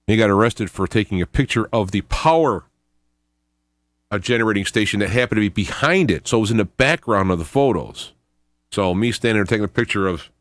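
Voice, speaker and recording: Man, low voice, dry close sound, rambling informal commentary, self-correcting. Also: no echo. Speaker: host of an independent radio show. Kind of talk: informal video commentary on his own investigation.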